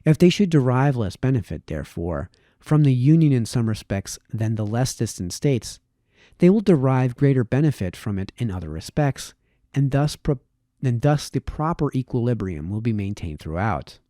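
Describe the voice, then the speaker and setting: A man reading a formal historical essay aloud with measured phrasing. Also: mid-range voice, big pitch swings, warm tone, no echo, clean studio sound. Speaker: reader, host of a reading series.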